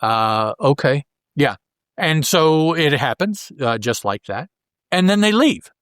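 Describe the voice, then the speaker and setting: A man hosting a podcast, casually recapping a story in a halting way as if thinking aloud. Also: fairly high pitch, animated, fairly bright tone, no echo, clean studio sound. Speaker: podcast host. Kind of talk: casual panel recap of a comic book.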